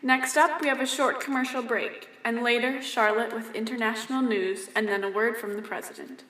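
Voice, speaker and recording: A woman's voice: fairly high pitch, steady tone, normal speed, sharp tone, echoey room, rough recording. A young woman reading a scripted news report as the presenter of a mock 1930s radio broadcast.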